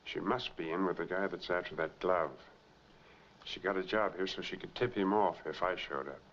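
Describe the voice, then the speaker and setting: A hunted, determined American man in a 1940s film noir. His voice is low and his phrasing clipped and hard-boiled. The soundtrack is muffled and plainly noisy. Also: moderate pace, a bit bright. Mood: guarded, reasoning.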